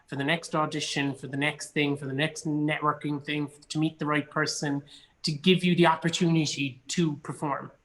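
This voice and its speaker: A man's voice: a little high, fairly bright tone, low-quality recording. Chatty, enthusiastic Irish man. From Cork, Ireland. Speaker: actor and theatre company artistic director being interviewed. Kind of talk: casual chat.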